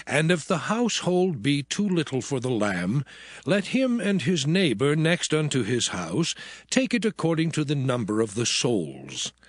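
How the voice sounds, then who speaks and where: A man playing the God of Israel as a solemn, commanding lawgiver in a dramatized scripture reading, with measured, declarative delivery. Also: a little high, huge pitch swings, dry recording, full character voice. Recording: background music throughout.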